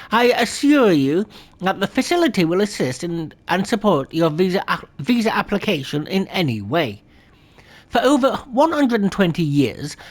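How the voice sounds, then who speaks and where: This is a gameplay commentator with a lively, swinging voice who reads the letters on screen out loud. The reading is plain and unpolished, with small stumbles that get corrected. Now and then the speaker breaks off to talk casually to the viewer. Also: mid-range voice. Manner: chatty asides to the viewer between readings.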